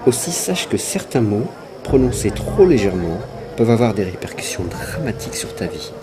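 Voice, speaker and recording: A cautious, sober companion in a cartoon. His voice is fairly low, grave and restrained, set against clearly noisy ambience. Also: very animated, normal speed. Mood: grave warning. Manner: grave, restrained, quietly warning. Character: sober, cautious old friend of a rich man. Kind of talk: dubbed religious cartoon acting.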